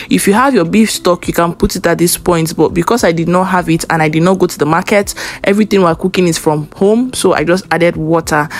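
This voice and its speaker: A young Nigerian woman with a Nigerian English accent, talking casually through a home cooking recipe with chatty asides. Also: lowish voice. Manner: chatty, instructive, with loose asides. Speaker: cooking vlogger.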